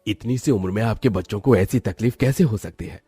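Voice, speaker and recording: A man's voice: fairly low pitch, fairly quick, warm tone, slightly rough, no echo, clean studio sound, faint music behind. A man voicing a grave doctor who gives emphatic warnings in a moral story. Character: grave male doctor warning worried parents. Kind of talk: acted moral story dialogue.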